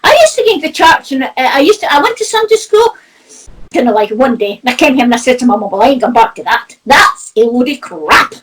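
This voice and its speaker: A woman's voice: high-pitched, animated, fairly quick, slightly rough, distant mic, low-quality recording. A Scottish woman with a Doric accent, a spiritual medium chatting casually and telling anecdotes, with lots of "you know" and laughter. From Scotland. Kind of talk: casual chat about spirituality.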